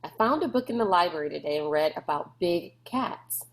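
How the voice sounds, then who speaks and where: A woman's voice: on the low side, lively intonation, normal speed. A woman voicing a headstrong, bossy young girl in a storybook, insistent and emphatic. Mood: eager.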